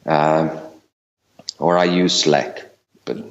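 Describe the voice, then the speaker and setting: A man's voice: extremely low pitch, steady tone, very slow. A middle-aged man with a Hungarian accent, talking as an interview guest in a hesitant way, with many fillers and restarts. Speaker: guest, LinkedIn consultant. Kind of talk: casual interview talk. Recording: roomy, dull lo-fi recording.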